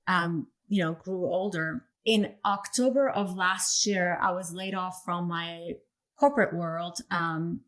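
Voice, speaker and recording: A woman from Iran, a coach for parents of sensitive children, answering interview questions in a thoughtful way with many pauses and "um"s. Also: fairly low pitch, a bit bright.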